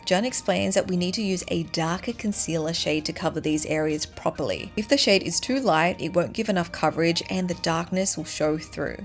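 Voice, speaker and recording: Host voice-over: woman, 30s, lower register, clear step-by-step tutorial narration, light music bed. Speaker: beauty vlogger host.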